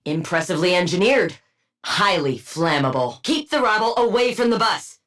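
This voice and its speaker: A woman's voice: fairly low pitch, expressive, normal speed, fairly bright tone. A woman playing a haughty, sardonic scientist supervillain in a video game, with clinical, precise diction and dry, condescending wit. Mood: dry, commanding. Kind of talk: scripted game character voice lines.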